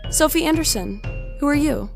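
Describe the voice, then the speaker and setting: A woman's voice: high-pitched, lively intonation, normal speed, gravelly. A young woman playing a bewildered, earnest teenage girl from the past in a hobby audio drama. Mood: anxious, relieved. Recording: music playing behind the voice.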